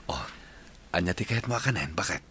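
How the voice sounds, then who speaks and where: A man's voice: sits low, animated, brisk, a bit bright, slight background noise. A man acting an earnest, caring young husband in an Ilocano radio drama.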